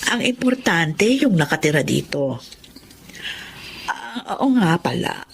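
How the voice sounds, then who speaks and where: A woman's voice: fairly low pitch, big pitch swings, takes it slowly, creaky, slight background noise, full character voice. An actress with a Filipino accent playing a kind, motherly older woman in a radio drama, speaking tenderly and earnestly. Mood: caring. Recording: music behind the dialogue.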